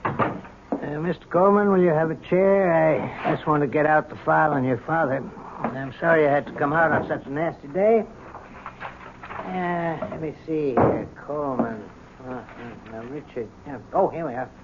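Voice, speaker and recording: An actor playing a humane, persuasive prison doctor with a hesitant, coaxing manner in an old-time radio drama. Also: male voice, on the high side, highs cut off, close to an everyday voice. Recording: thin, noisy old radio broadcast.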